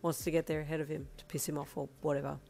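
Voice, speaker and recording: A woman's voice: little pitch movement, rapid-fire, vocal fry, mellow, soft background music. A woman voicing a sly, smirking young man. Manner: low, smirking and cheeky.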